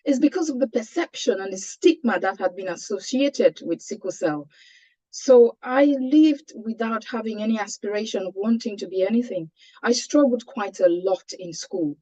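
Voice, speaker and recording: A woman with a Cameroonian accent giving a personal testimony as a webinar panelist, earnest and measured in her delivery. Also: mid-range voice, mellow. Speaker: panelist sharing a patient's experience. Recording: dull, lo-fi online call audio.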